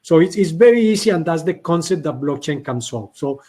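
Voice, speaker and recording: A man's voice: on the high side, expressive, fairly quick, dark tone. A man answering a question on an online panel, explaining at length and repeating words as he restarts. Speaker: company representative answering questions.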